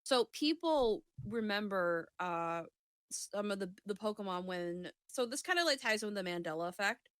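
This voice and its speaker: A woman's voice: mid-range voice, lively intonation, normal speed, a bit bright, no room sound. Rambling, sweary woman in a dry-sounding recording. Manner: rambling, self-interrupting, sweary. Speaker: co-host of a chat show. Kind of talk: casual gaming chat.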